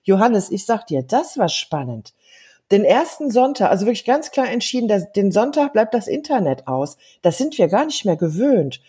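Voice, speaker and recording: A woman's voice: medium pitch, big pitch swings, moderate pace, dark tone, breathy edge. Thoughtful German woman in her fifties. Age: 50s. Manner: earnest, thoughtful, hesitant with fillers. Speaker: guest. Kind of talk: casual conversation.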